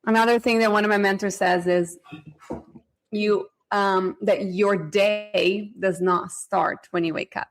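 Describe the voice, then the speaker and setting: A woman hosting a podcast, asking a guest prepared questions in a measured way and adding her own comments. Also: medium pitch, fairly even intonation. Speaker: podcast host.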